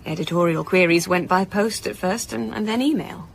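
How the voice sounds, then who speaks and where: Female publisher, lowish pitch, wry, chatty, candid, radio drama, light background noise. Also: normal speed, gravelly. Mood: candid, dry. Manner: wry, hesitant, conversational. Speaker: actor in audio drama. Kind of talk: naturalistic radio drama acting.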